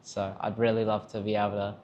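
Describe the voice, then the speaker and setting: A man's voice: lowish voice, monotone, quick pace, vocal fry, darkish tone, a little hiss or hum. A young Australian man, a camp counsellor, chatting reflectively in an interview with many fillers. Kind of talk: casual interview answers.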